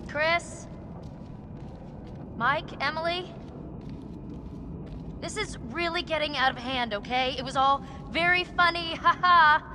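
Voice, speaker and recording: An actress playing a sassy, expressive young woman trapped at a spooky lodge, in a naturalistic game cutscene. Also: sits high, takes it slowly, pauses often, noisy background. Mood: uneasy, exasperated. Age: young adult. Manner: sarcastic, expressive.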